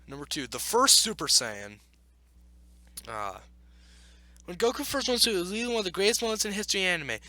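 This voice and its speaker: A man hosting a video, reading aloud and arguing back with blunt, opinionated off-the-cuff commentary in a halting, self-correcting way. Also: high voice, big pitch swings, bright, forceful tone, dry recording. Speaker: video host reacting to an article.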